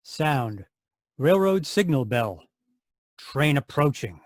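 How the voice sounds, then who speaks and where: A man's voice: medium pitch, big pitch swings, takes it slowly, dry recording, studio quality. A man reading out sound-effect cues in short, plain phrases for a radio play. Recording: live video-call performance.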